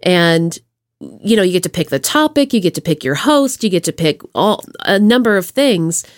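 A woman's voice: fairly low pitch, moderate pace, no echo. Playful woman podcast host, close dry sound. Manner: playful, slangy, expressive. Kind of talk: casual chat interview.

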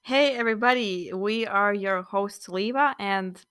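A woman's voice: mid-range voice, unhurried, fairly bright tone, clear, resonant tone, no echo, clean studio sound, soft background music. A young woman hosting a casual student podcast, chatting loosely with some fillers. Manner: friendly, loosely improvised with fillers. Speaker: podcast host.

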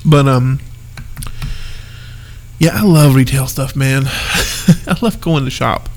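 Gravelly man, stuffed-up nose. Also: medium pitch, slow pace, slight background noise. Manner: easygoing, laughs often, rambling asides. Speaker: podcast co-host. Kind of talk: casual chat.

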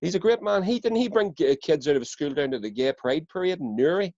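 A man's voice: on the high side, lively intonation, fast talker, a little mellow, no room sound. A Northern Irish man talks politics in casual chat, swearing freely and tripping over repeated words when worked up. The sound is close and dry, slightly dull on top. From Northern Ireland.

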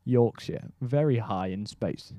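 A man co-hosting a comedy podcast, delivering absurd jokes deadpan. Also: mid-range voice, slow, no room sound. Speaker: podcast co-host.

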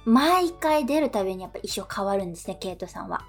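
A woman's voice: medium pitch, sing-song, faint music behind. A young woman co-hosting a radio show, chatting casually in a polite, responsive way. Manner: polite, responsive, quick to affirm. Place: radio studio.

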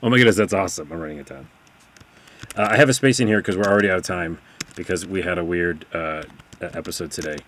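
Low-voiced man hosting a casual podcast, chatty and excitable, talking from a distance to the mic. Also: quick pace, slight background noise. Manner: chatty, excitable, reads book blurbs aloud. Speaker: podcast host. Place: studio room, sitting back from the mic. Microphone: far from the microphone.

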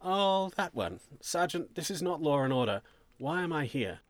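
A man's voice: fairly high pitch, sing-song, moderate pace, no room sound, pitched above the performer's own voice. Guy doing a nervous confused suspect, polite, protesting a bit, story reading, very dry sound. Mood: defensive. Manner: hesitant, perplexed, protesting. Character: bewildered, nervous male suspect, defensive and polite.

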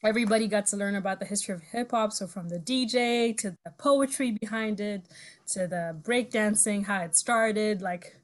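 A woman's voice: mid-range voice, moderate pace. Chatty young woman, French her first tongue. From Ivory Coast and Zimbabwe. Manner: chatty, laughs often, trails into fillers. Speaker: guest musician. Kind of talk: casual interview storytelling.

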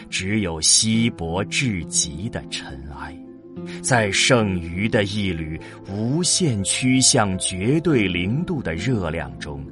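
Low voiced guy narrating sci-fi, solemn and slow, music behind him. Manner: solemn, deliberate. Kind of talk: dramatic scripted science fiction narration.

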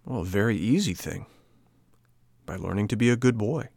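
Storybook fairy woman, gentle, patient, motherly, fairly low voice, dry close sound, as a man reads her. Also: animated, moderate pace, vocal fry, no echo.

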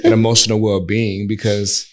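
A man co-hosting a podcast in casual conversation, easygoing and measured as he works through his thoughts. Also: on the low side, level tone. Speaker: podcast co-host. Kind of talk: casual couples chat.

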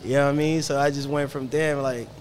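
A man's voice: mid-range voice, steady tone, fast talker, slight background noise. Reflective man who grew up in Ghana. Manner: reflective, tags lines with "you know what I mean". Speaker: guest, fashion creative. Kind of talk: casual podcast conversation. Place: indoor studio.

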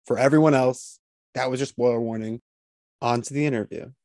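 A man hosts an interview podcast. His voice sits at an average male pitch, and the sound is dry, with hardly any room on it. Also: fairly even intonation, brisk, a little mellow, no echo. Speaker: podcast host.

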